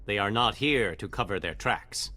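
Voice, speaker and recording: An actor playing a grave, formal squad agent in a tactical game, speaking in deliberate, stiff phrases. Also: male voice, fairly low pitch, expressive, sharp tone. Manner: formal, deliberate, without contractions. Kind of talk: scripted game dialogue. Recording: faint background music.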